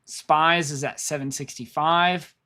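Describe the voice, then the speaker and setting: Hoarse male streamer with a slightly high voice, casual and rambling, walking viewers through chart levels. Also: steady tone, measured pace, pushed and bright. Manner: rambling, repeats himself, thinks aloud. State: losing his voice. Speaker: stock market streamer. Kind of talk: live trading commentary.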